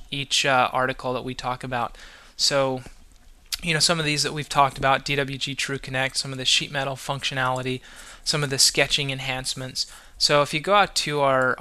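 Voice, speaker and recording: A man's voice: mid-range voice, pushed and bright, clear, resonant tone. A man hosting a podcast, talking casually and loosely, with many restarts and fillers. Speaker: podcast host.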